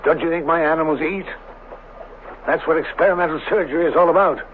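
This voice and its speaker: A man's voice: fairly high pitch, fast, lightly acted. A man acting a cold, secretive doctor in an old-fashioned radio drama, curt, impatient and domineering with his wife. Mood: impatient, defensive. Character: cold, irritable, secretive scientist doctor and husband. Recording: tinny, band-limited, noisy radio broadcast recording.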